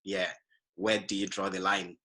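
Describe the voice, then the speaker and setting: A man's voice: sits low, little pitch movement, measured pace, pushed and bright. A man talking casually in a podcast discussion as its host.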